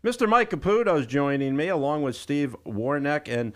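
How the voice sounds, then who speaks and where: A man's voice: on the high side, sing-song, moderate pace. A man hosting a live radio show in a chatty, folksy way, speaking directly to listeners from a studio. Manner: folksy, addresses listeners as guys. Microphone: studio broadcast microphone.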